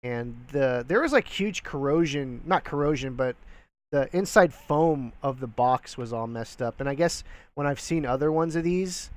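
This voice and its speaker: Man with a cold-stuffed nasal voice. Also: medium pitch. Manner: rambling, filler-heavy, friendly. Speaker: reseller vlogger. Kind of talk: casual talking-head vlog. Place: small room at home, indoors. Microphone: gaming headset with boom mic.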